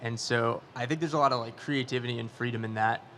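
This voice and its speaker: A man's voice: medium pitch, fairly even intonation, fast talker, fairly bright tone, slight background noise. A man in his twenties on a startup panel, speaking casually with likes and ums. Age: 20s. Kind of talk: conference panel discussion.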